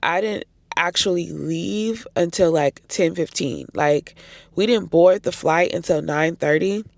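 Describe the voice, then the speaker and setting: An American woman talks to her viewers like a travel vlogger, casual and emphatic. Her voice is raspy and congested-hoarse, with a gravelly creak running through it. The recording is dry, with little room sound. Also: fairly low pitch, measured pace, a little mellow, no room sound. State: hoarse from recent congestion and cough. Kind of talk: casual chatty trip recap to viewers.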